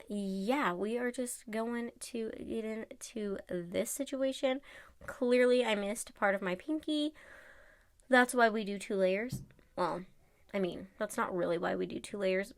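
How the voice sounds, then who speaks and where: A woman's voice: mid-range voice, no room sound. Congested, nasal chatty woman. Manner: chatty, rambling with fillers. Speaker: nail tutorial vlogger. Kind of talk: casual voice-over tutorial.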